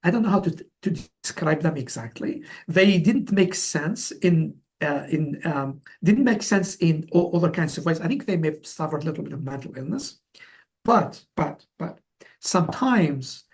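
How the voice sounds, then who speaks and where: A man in his forties from Algeria answering as an interview guest, telling his story conversationally in a halting way, often repeating words and restarting. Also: fairly high pitch, expressive. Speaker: interview guest, company founder.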